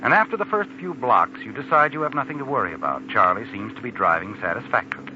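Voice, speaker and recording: An actor playing an ominous, insinuating narrator of a 1940s radio suspense drama, speaking straight to the protagonist. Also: male voice, on the low side, animated, fast talker, muffled recording, background noise, natural voice. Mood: knowing, ominous. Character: knowing, ominous male narrator addressing the protagonist. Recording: thin old radio recording with music.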